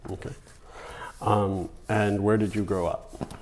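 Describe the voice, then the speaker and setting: A man interviewing a guest for an oral history project, asking gentle, hesitant questions. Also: on the low side, little pitch movement, slow, a little hiss or hum, poor audio. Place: community center room.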